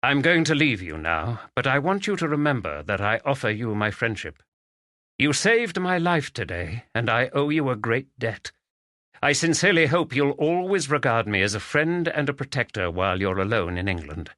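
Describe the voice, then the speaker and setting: A composed, gallant gentleman detective, voiced by the male narrator, firm and courteous with a bright, animated ring to his measured speech. The reading is close and dry. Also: mid-range voice, no echo, pitched above the performer's own voice. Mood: formal. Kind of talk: audiobook character voice.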